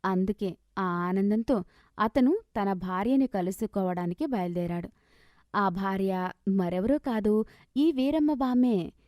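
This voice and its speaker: A woman narrating a scripted moral story as an expressive, dramatic storyteller. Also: medium pitch, measured pace, pauses often, dry recording, clean studio sound.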